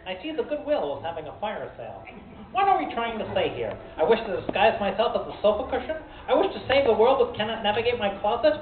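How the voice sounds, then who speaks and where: A woman's voice: mid-range voice, big pitch swings, quick pace, natural voice. A woman playing a snooty, theatrical robot tailor who sprinkles in French phrases in a comic radio play. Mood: catty, theatrical. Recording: echoey, tinny, distant live hall with noise.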